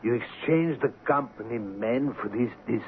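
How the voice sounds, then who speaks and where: An actor in a radio drama playing an indignant, excitable colonial company man, with theatrical, formal, exclamatory delivery. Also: mid-range voice, normal speed, phone-quality sound, close to an everyday voice. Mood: appalled, outraged. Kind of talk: old-time radio drama acting. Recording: thin, noisy vintage radio broadcast.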